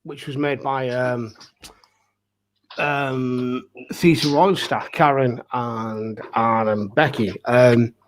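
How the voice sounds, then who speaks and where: A man's voice: medium pitch, fairly even intonation, slow pace, a little hiss or hum. A man chatting casually as a pantomime performer on a panel, speaking emphatically in short bursts.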